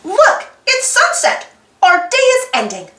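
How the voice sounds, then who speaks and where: A woman reading a children's storybook aloud in an expressive, sing-song way, putting simple questions to young listeners. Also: very high voice, unhurried, reverberant. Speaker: storybook reader. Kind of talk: children's read-aloud narration.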